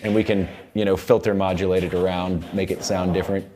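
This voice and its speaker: An American man in his thirties giving an informal software tutorial as an instructor, often repeating and rephrasing himself as he goes. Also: low-pitched, level tone, fairly quick, slight background noise. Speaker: audio production instructor. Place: recording studio control room.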